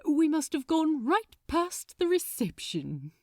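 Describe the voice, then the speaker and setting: A woman's voice: high-pitched, big pitch swings, slow pace, a little mellow, no echo, studio quality, pitched above the performer's own voice, heavily acted. Lady doing a posh-mannered tipsy guy angel from a storybook, fond, polite, lilting up and down. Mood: amused. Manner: polite, unconcerned, old-fashioned.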